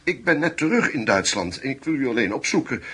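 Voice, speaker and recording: A man's voice: mid-range voice, very animated, brisk, a bit bright, slightly rough. An actor in a radio drama playing a polite, hesitant man who seeks out old comrades. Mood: apologetic, polite. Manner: courteous, hesitant.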